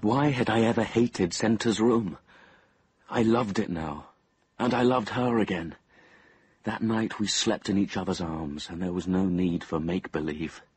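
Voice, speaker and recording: An actor playing an anxious, lovestruck young man with a troubled conscience in a radio drama, his voice husky. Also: low-pitched, a little slow, heavily acted. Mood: brooding confession. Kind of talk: radio drama acting and first-person narration.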